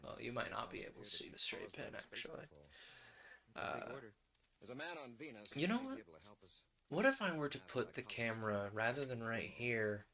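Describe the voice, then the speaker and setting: A man's voice: mid-range voice, very animated, normal speed, creaky, pauses often, crowd noise, low-quality recording. A man streaming casually, chatting and thinking aloud as host while he works at a craft.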